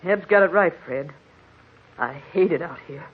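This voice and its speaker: An actress playing a discontented, defiant American frontier wife in an old radio melodrama. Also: female voice, deep voice, lively intonation, moderate pace, slightly rough, phone-quality sound, full character voice. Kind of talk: 1940s radio melodrama acting. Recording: thin, crackly old radio recording.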